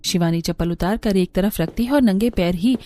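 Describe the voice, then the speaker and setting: A woman's voice: medium pitch, fairly quick, dark tone. A woman reading scripted narration for a cartoon story.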